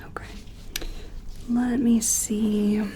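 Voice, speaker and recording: A woman playing a gentle, curious sci-fi examiner in an ASMR role-play, soft-spoken and slipping in and out of a soothing whisper. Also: fairly high pitch, level tone, extremely slow, slight background noise.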